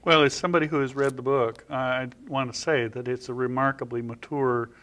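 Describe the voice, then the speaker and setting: An older man, a professor acting as interviewer, asking questions in a brisk, friendly way on a television studio set, picked up by a lapel mic. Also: medium pitch, fairly even intonation, fairly bright tone.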